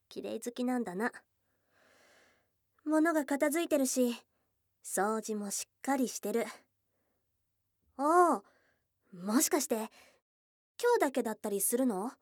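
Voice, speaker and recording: A woman voicing a shy, affectionate young goblin girl in a Japanese ASMR voice drama, with casual tomboyish speech and a soft, hesitant delivery. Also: a little high, animated, slow, pauses often, dry recording, studio quality. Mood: curious, teasing. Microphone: close studio mic, dry.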